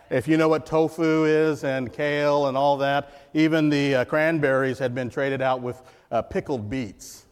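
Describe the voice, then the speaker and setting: A man with a loud voice preaching a guest sermon in church, emphatic and repeating key phrases while calling on the congregation to answer. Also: on the high side. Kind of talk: sermon with jokes and call-and-response. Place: church sanctuary. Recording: long church hall reverb.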